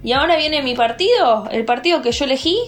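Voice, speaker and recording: An Argentine woman with a fairly high voice chats casually as a podcast co-host. She talks freely and fills pauses with hesitations. Faint music sits under her voice. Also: lively intonation. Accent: Argentine Spanish. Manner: chatty, lots of hesitation fillers. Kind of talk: casual chat.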